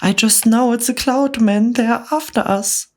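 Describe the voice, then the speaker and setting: A woman's voice: medium pitch, animated, slow, pitched above the performer's own voice. Woman voicing a fretful, mournful Miss Spider. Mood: frightened. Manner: mournful, dramatic. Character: fussy, fretful female spider.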